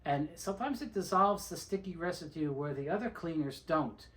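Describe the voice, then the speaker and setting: A man's voice: a little high, expressive, normal speed, fairly bright tone. Plain-spoken man giving a how-to demonstration. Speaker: presenter of a how-to video on restoring tape reels. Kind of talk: informal instructional demonstration.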